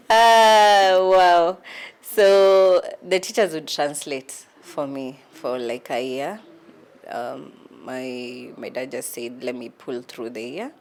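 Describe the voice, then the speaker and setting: Kenyan woman, 30s, interview guest, low and unhurried, pausing with ums. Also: a little mellow. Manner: hesitant, many ums, laughs at herself. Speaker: guest, lawyer. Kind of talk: casual interview answers.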